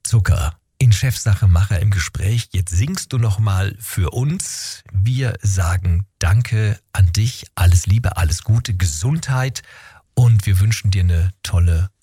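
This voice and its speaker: A man's voice: low-pitched, takes it slowly, warm tone, slightly rough, no echo, clean studio sound. A man hosting a radio interview in a conversational style, putting questions and prompts to a guest.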